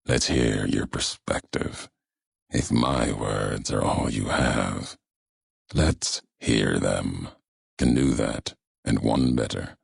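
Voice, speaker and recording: A grim, brooding courier of the wasteland, with a heavily gravelled rasp. He speaks slowly and solemnly in clipped, cryptic phrases. The sound is dry and close. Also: no room sound, exaggerated voice. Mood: solemn, challenging. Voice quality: very deep, gravelly, raspy. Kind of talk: scripted video game dialogue.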